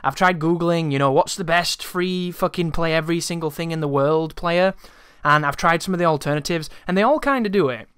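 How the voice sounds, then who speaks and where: A man's voice: on the high side, brisk, a bit bright, clean tone, no room sound. A man telling a casual, rambling story to his viewers in an exasperated, sweary way, close to the microphone. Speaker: YouTuber.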